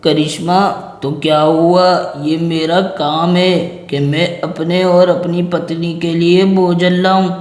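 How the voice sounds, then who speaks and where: A calm, dutiful cartoon bird husband voiced by a man, heard with clear room echo. He speaks slowly and reasonably, in a caring, measured way. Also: fairly high pitch.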